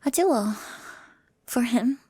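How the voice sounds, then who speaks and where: A woman playing a jealous, possessive pirate captain in an ASMR roleplay, taunting and sultry with breathy, husky whispers. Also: fairly high pitch, expressive, slow pace, mellow, no room sound, full character voice. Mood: jealous, threatening.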